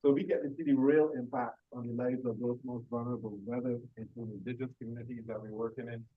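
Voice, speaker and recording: A man heading an organization, giving earnest, measured opening remarks at a panel session. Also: medium pitch, fairly even intonation, breathy edge, no room sound, narrow, tinny audio. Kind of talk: opening speech.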